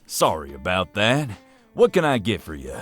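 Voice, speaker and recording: A man's voice: deep voice, wildly swooping pitch, moderate pace, fairly bright tone, faint music behind, exaggerated voice. A man with a Southern US accent playing a big, friendly, over-polite diner cook in a video game. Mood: welcoming, polite. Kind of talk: voice acting in a dating simulator game.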